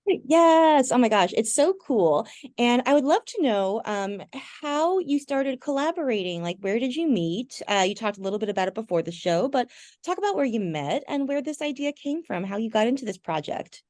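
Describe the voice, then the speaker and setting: A woman's voice: fairly high pitch, big pitch swings, moderate pace, darkish tone. A woman hosting a podcast, asking casual interview questions in an enthusiastic way. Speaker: podcast host.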